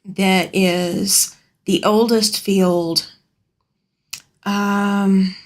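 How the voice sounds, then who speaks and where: A woman from Alabama, chatting casually on a live stream from her home sewing room as she rambles and answers viewers' comments aloud. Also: mid-range voice.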